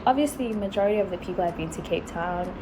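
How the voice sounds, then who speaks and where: A young woman from Africa, musing slowly in a casual travel vlog, full of sighs and likes. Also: mid-range voice, noisy background. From South Africa. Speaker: vlogger. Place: in Italy while travelling.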